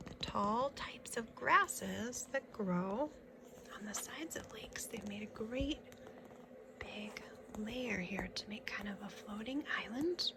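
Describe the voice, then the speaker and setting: A woman reads aloud to young children at a slow, measured pace, in a mid-pitched voice. She is instructive, moving between the book's text and casual questions that point out the pictures. Clear background noise runs through the recording. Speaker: children's picture book reader.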